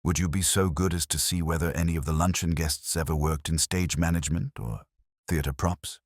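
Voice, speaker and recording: A man voicing a calm, courteous police inspector in an audiobook mystery, formal, dryly understated and quietly probing. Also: extremely low pitch, a little fast, dry recording, studio quality. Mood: courteous instruction.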